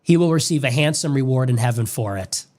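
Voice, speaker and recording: A man's voice: mid-range voice, a little fast, a little mellow. A man giving a grave, preacher-like monologue on death and faith, played back from an original stream. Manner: grave, preacherly cadence with long lists. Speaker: political commentator.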